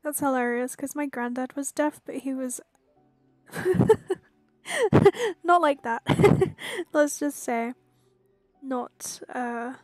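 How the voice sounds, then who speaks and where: A woman's voice: high voice, slow pace, long pauses, no echo, soft background music. A woman giving casual, informal commentary while playing through a video game. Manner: informal, reactive. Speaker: game streamer.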